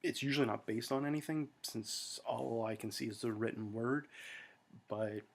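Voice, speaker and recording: A man's voice: medium pitch, steady tone, moderate pace, vocal fry. An American man talking to friends in a rambling, self-deprecating monologue full of fillers and restarts, recorded at home. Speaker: vlogger.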